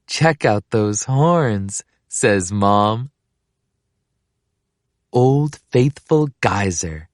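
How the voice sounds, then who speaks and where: A woman reading a children's picture book aloud in an expressive, deliberate way. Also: deep voice, dry recording, clean studio sound. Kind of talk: scripted children's story read-aloud.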